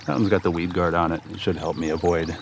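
Deep-voiced man fishing from a kayak. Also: fast talker, creaky, noisy background. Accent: Minnesotan. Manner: relaxed, chatty, talks to viewers. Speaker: fishing vlogger. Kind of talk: casual fishing commentary. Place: kayak on a river outdoors. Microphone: action camera on the kayak.

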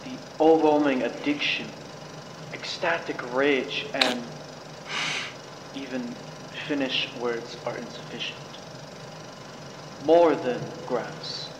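A man acts out an old male scientist being questioned in a game. He is grave and fanatical, speaking with deliberate drama in an echoing room over a clear background noise. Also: mid-range voice, muffled recording, close to an everyday voice. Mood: grave, intense. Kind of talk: amateur community game-mod voice acting.

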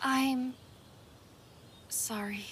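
A woman's voice: high-pitched, steady tone, drawn-out words, a bit bright, slightly rough, full character voice. An actress playing a jealous, hot-tempered young woman fighter in an audio drama, speaking in an emphatic, accusatory way. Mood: subdued.

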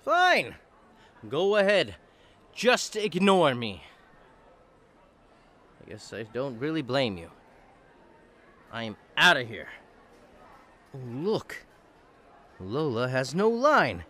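Man acting a lonely resentful man, radio drama, indignant, slow speech, very animated pitch. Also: heavily acted. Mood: puzzled. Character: bitter lonely man ignored by everyone.

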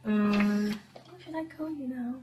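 A woman's voice: a little high, a little slow, mellow, a little hiss or hum, close to an everyday voice. A young female actor playing a playful, bossy Nigerian girl in a film drama, talking in a teacherly, teasing way. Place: porch outdoors.

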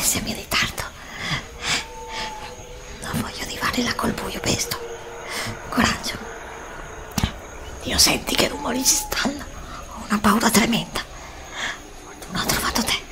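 A middle-aged woman playing a frightened young woman lost in a dark forest in an ASMR roleplay, pressing her companion with anxious, pleading whispers. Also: a little high, sing-song, measured pace, pauses often, poor audio. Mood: fearful. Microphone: desk condenser mic close up.

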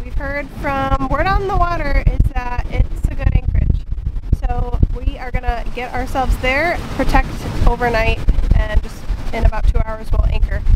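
High-voiced woman vlogging from a sailboat. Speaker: sailing vlogger. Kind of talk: casual vlog update to camera. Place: open cockpit of a sailboat at sea. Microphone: camera at arm's length. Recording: clear wind and boat noise.

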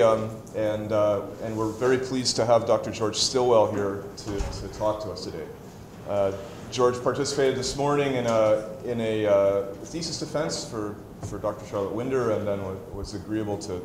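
A man in his fifties introducing a guest lecturer, with a halting delivery full of pauses and restarts. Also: medium pitch. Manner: halting, many uhs and restarts. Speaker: event host introducing a speaker. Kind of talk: formal introduction. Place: large lecture hall. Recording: echoey lecture hall, faint noise.

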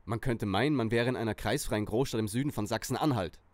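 A man's voice: lowish voice, fast, darkish tone, dry recording, lightly acted. A man playing a bossy, aggressive, smug teenage leader in a parody audio play.

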